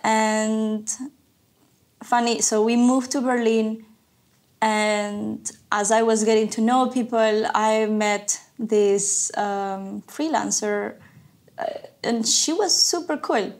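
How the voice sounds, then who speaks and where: Lively woman from Ecuador telling her story. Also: on the high side. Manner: lively storyteller, eager and quick. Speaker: guest, animator. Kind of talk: casual interview chat.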